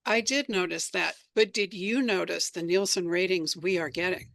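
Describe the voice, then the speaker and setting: A woman's voice: on the low side, moderate pace, vocal fry, a bit bright. An American woman being interviewed about her work, telling stories in a conversational way as a radio drama creator. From United States.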